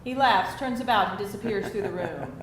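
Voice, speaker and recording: A woman's voice: mid-range voice, lively intonation, a little fast. A woman reading stage directions as narrator at a live screenplay reading. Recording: very echoey, distant, noisy live room.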